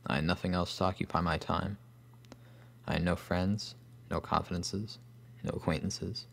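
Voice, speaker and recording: An actor playing a solemn, bookish loner recalling his boyhood, narrating a scripted horror story with an American accent and grave, measured phrasing. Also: deep voice, steady tone, creaky, fairly bright tone, exaggerated voice. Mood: sombre. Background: guitar music.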